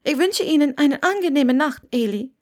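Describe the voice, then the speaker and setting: A woman's voice: sits high, lively intonation, normal speed, voice pushed up. A woman voicing a reserved, weary young woman who is polite, hesitant and evasive, in an audiobook story.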